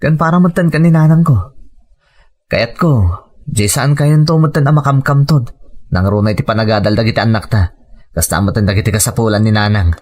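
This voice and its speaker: A man's voice: mid-range voice, brisk, a little mellow, natural voice. A man playing an earnest, burdened working-class husband with an Ilocano Filipino accent in a sentimental audio drama. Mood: hopeful.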